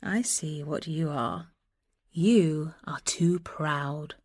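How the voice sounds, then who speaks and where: An actress playing a bold, passionate young woman disguised as a gentleman messenger, speaking verse earnestly and lyrically in a Shakespearean radio drama. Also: low voice, no echo, studio quality, full character voice. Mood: reproachful, ardent. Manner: earnest, lyrical, deliberate delivery.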